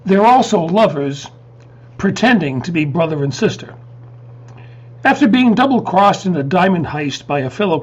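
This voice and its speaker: A man's voice: high voice, husky. A man presenting scenes for a show, reading a scripted introduction on a dull recording.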